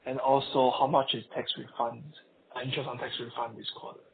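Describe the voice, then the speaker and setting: A man's voice: medium pitch, level tone, fairly quick. Hesitant male caller on noisy phone. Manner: hesitant, broken phrasing. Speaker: analyst caller. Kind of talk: polite questioning. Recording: tinny phone line with clear noise.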